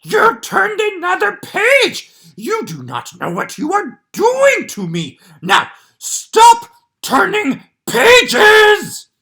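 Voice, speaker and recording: A woman voicing a lovable furry male monster, shouting and pleading in a high, panicky voice. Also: wildly swooping pitch, drawn-out words, full character voice. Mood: exasperated.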